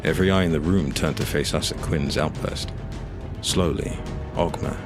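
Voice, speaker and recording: A man with an extremely deep voice narrates dramatic fiction in the first person. Loud music plays underneath his scripted delivery. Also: normal speed.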